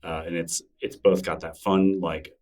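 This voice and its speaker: A man's voice: low voice, little pitch movement, measured pace, a little mellow, recorded from a distance. A middle-aged man, a guest in an interview, talking in a thoughtful, rambling way with many fillers and restarts. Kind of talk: casual interview conversation.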